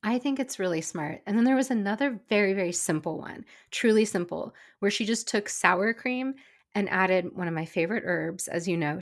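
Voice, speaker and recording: A middle-aged woman, a former personal chef, co-hosting a casual cooking podcast, chatty and enthusiastic, slightly stuffy from a cold. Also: on the low side. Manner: chatty, enthusiastic, quick asides. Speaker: podcast co-host. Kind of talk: casual chat.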